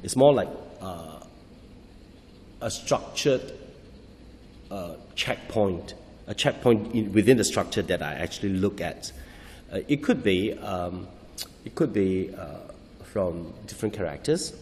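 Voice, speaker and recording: Male actor, low voice, hesitant restarts, long hall echo. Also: expressive, darkish tone, slight background noise. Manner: halting, searching for words, frequent restarts. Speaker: stage actor. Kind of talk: interview answers.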